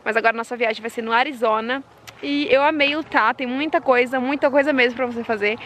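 A woman's voice: on the high side, steady tone, quick pace, fairly bright tone. A woman in her twenties with a Brazilian Portuguese accent, chatting casually to viewers as a travel vlogger on a handheld camera. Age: 20s. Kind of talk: casual vlog chat to viewers. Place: outdoors by desert roadsides and trails. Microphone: camera at arm's length. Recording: outdoor ambience noise, handheld camera.

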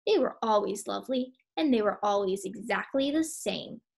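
A woman's voice: medium pitch, expressive, moderate pace, creaky, breathy edge. A woman voicing a cranky, proud male hare artist in a storybook, huffy and emphatic. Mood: fed up. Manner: huffy, emphatic, self-important.

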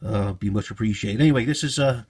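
A man's voice: on the low side, quick pace, a little mellow, slightly rough. A man giving an informal, unscripted product walkthrough in a hesitant, explanatory way, like a hobbyist presenter.

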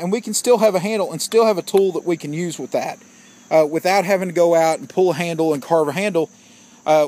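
A man's voice: sits high, a little mellow. A man giving a casual outdoor gear review, plainspoken and opinionated, often calling his viewers "guys". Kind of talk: casual product review vlog.

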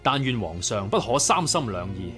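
An earnest young chief of a sworn brotherhood in a martial-arts radio play. His mid-pitched voice is formal, resolute and widely inflected, set over music. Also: big pitch swings, normal speed, a bit bright. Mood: solemn. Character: earnest, courteous young leader of a rebel brotherhood. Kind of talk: Cantonese radio drama acting. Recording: background music under the voice.

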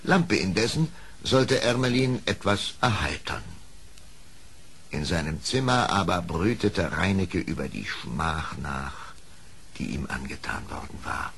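Low creaky guy narrating an old story record, expressive and measured, bit of hiss. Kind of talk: dramatic scripted storybook narration. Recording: faintly hissy old audio play record.

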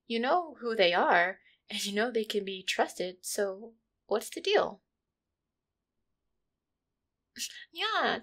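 A woman voicing an affectionate, insecure catgirl girlfriend in an intimate roleplay, with a hesitant, soft-hearted manner. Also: fairly high pitch, full character voice. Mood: puzzled, probing. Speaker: roleplay audio performer. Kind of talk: intimate one-sided roleplay audio acting.

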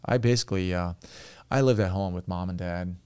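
A man in his thirties from Turkey with a Turkish accent, a podcast guest telling his story in relaxed, chatty fashion at a studio boom mic. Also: a little fast, clear, resonant tone, dry recording. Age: 30s. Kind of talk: casual interview chat. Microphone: studio boom mic with headphones.

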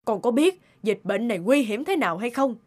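A woman's voice: a little high, lively intonation, fairly quick, darkish tone. Fretful, scolding cartoon mother. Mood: alarmed. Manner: fretful, nagging, blunt. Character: anxious, scolding middle-aged mother of a groom. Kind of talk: cartoon dubbing.

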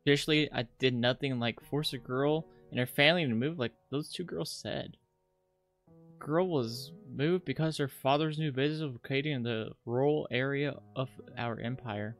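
Game streamer, mid-pitched, halting read-aloud, casual asides, faint background music. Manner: halting reader, stumbles over words. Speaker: host of a visual novel playthrough. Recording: music behind the voice.

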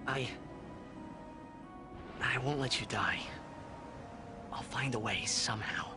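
An actor playing an earnest, determined young hero in a video game cutscene. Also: male voice, medium pitch, slow pace, over music, close to an everyday voice. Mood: resolute.

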